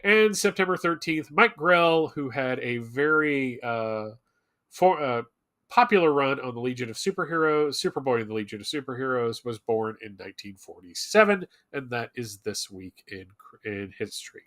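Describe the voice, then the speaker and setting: A man co-hosting a live fan show, reading a loosely scripted rundown with hesitant pauses and wry asides. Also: medium pitch, expressive, a bit bright. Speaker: show co-host presenting comics history segment.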